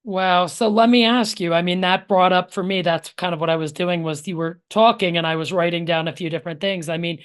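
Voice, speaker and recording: A woman's voice: on the low side, fairly even intonation, fairly quick, few pauses. A woman hosting a podcast interview, reacting often with exclamations and asking questions. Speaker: podcast host. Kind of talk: conversational interview.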